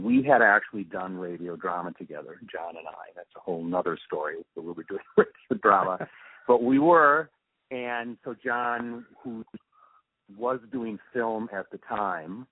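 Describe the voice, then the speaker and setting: A man with a low-pitched, rough-edged voice answers interview questions as a producer looking back. He speaks casually and thoughtfully, often breaking off and restarting his thoughts. The sound is dry and narrow, like a telephone line. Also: dry recording. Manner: halting, reflective, often trailing off mid-thought. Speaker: interview guest, radio drama producer and sound mixer. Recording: muffled, narrow phone-like interview recording.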